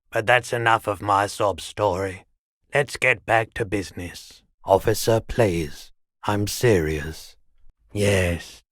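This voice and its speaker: An actor playing a weary, mild-mannered pawnshop owner no longer young in a video game, speaking in an unhurried, low-key, dryly polite way. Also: male voice, fairly bright tone, no room sound, clean studio sound. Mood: weary, resigned. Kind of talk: video game dialogue acting.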